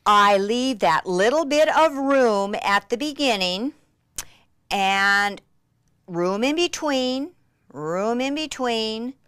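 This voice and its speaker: A woman giving an excitable, gushing craft demonstration, with breathy exclamations and words repeated for emphasis. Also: mid-range voice, no echo, clean studio sound. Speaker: craft instructor demonstrating techniques. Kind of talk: enthusiastic hands-on craft demonstration.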